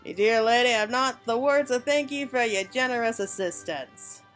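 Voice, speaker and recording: A woman's voice: fairly high pitch, normal speed, a bit bright, soft background music, natural voice. A woman voicing a courteous, formal merchant from a fantasy game, with old-fashioned phrasing. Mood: grateful. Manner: formal, gracious.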